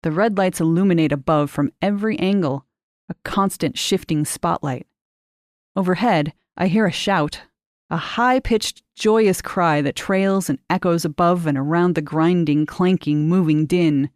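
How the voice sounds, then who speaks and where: A woman with a low voice narrates short fiction. Her delivery is measured and expressive, captured close and dry, with no room sound. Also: no echo. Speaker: short story reader. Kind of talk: scripted literary narration.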